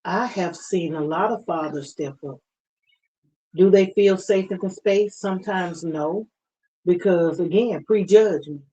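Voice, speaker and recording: A soft-voiced woman speaking as a guest on a radio talk show, earnest and heartfelt. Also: lowish voice, unhurried, low-quality recording. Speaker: guest, parent advocate. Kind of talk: interview talk.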